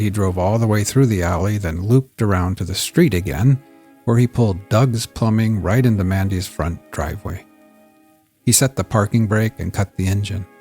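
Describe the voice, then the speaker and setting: A man reading scripted crime fiction in a measured, audiobook-style storytelling delivery. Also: on the low side, a little mellow, husky. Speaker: narrator of a serialized crime story. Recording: music bed under the voice. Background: music.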